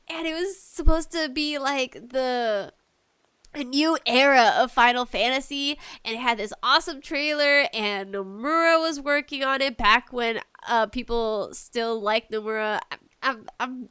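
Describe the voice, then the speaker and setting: A woman hosting a podcast, giving casual, opinionated gaming commentary in an animated, ranting, giggly way. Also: sits high, smooth, no room sound, clean studio sound. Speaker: podcast host.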